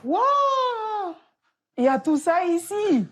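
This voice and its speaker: A woman's voice: very high voice, big pitch swings, slow pace, fairly bright tone. A woman from Benin speaking enthusiastically and with exclamations while visiting a bike workshop.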